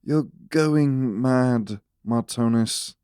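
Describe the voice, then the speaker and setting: Thirties noble minister, upper-class accent, clean resonant voice, formal, deliberate and unhurried. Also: male voice, mid-range voice, dark tone, clean tone, no room sound, clean studio sound. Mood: grave, measured. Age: 30s. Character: noble government minister in his mid-thirties, calmly measured. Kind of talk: fantasy audio drama acting.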